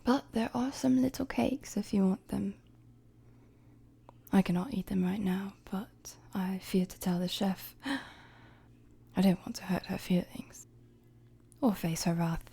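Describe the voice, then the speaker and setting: A woman playing a gentle, playful young queen in an intimate audio roleplay, speaking politely and formally in a soft, breathy, often near-whispered voice. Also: mid-range voice, slow, long pauses, exaggerated voice. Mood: apologetic, lightly teasing.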